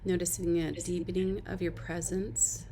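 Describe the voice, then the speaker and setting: A woman leading a guided body-scan meditation as an instructor, giving gentle, unhurried instructions and soft invitations. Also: on the low side, little pitch movement, slight background noise.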